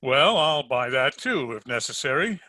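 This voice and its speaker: A man's voice: medium pitch, sing-song, normal speed, sharp tone, dry recording. A man playing a dry, wisecracking private detective in an old-time radio drama, narrating his case in hardboiled style. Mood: wry. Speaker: amateur radio drama player.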